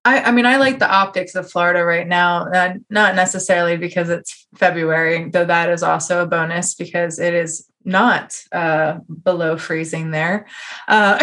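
A woman with an American accent hosts a relaxed interview. Her voice sits a little low for a woman. She talks informally and effusively, like someone chatting with a friend. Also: moderate pace. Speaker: podcast host.

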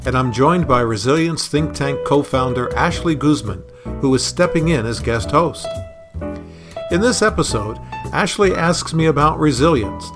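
A middle-aged man, a consultant and podcast host, speaking about his work on a show. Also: mid-range voice. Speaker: podcast host, consultant being interviewed as guest. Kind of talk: scripted podcast introduction and conversational interview answers.

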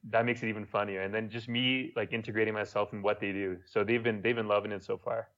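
Young French-Quebec man, low voice, relaxed storyteller, muffled recording. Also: quick pace. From Montreal, Canada. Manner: laid-back storytelling, swears casually. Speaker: guest, YouTuber. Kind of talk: casual podcast chat.

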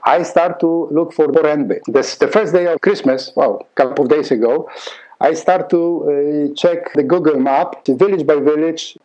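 A man's voice: on the high side, moderate pace, mellow, lo-fi sound. A middle-aged man from Poland with a Polish accent, telling a long story in an interview, often repeating words and acting out dialogue. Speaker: conductor and music teacher.

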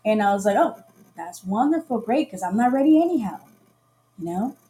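Chatty woman guest from Costa Rica with a relaxed, mellow voice who tells stories and acts out the dialogue. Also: mid-range voice, a little fast. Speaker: podcast guest. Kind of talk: casual chat on a livestream.

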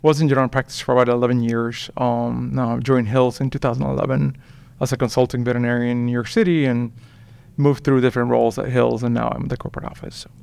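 A man, chatting as an interview guest and pausing often with "um.". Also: medium pitch, little pitch movement, gravelly, darkish tone, clear, resonant tone, no echo. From Puerto Rico. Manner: hesitant, many ums and restarts. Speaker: guest, veterinarian. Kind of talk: casual interview chat.

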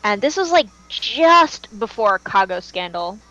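A woman's voice: mid-range voice, sing-song, measured pace, bright, forceful tone, no echo, lo-fi sound, soft background music. A woman chatting casually as co-host of a fan podcast, talking in a reminiscing way.

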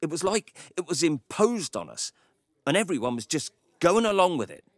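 Grumbling man, very animated. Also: fairly high pitch, normal speed, no room sound, studio quality. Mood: animated, exasperated. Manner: wry, grumbling, confiding storytelling. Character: grumpy, reserved Cornish male taxi driver, reluctant local hero. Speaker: actor in an audio drama. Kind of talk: audio drama monologue.

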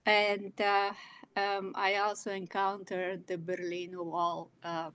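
A woman in her sixties from Bulgaria, speaking English with a Bulgarian accent, telling her life story as a guest with many pauses and fillers. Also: medium pitch, completely flat delivery, a bit bright, clear, resonant tone. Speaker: guest, illustrator and author. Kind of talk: personal storytelling in an interview.

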